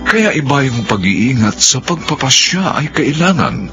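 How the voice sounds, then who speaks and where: A man's voice: mid-range voice, sing-song, measured pace, highs cut off. A man with a Filipino accent delivering dramatic scripted narration as the announcer of a radio drama. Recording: music behind the voice.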